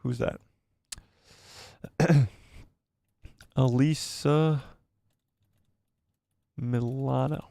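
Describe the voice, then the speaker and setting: A man hosting a live stream, chatting casually and reading out viewer comments. His voice is going hoarse and he rambles and repeats himself as he thinks aloud. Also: mid-range voice, steady tone, extremely slow, long pauses, warm tone, no echo, clean studio sound. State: losing his voice, coughing. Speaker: livestream host.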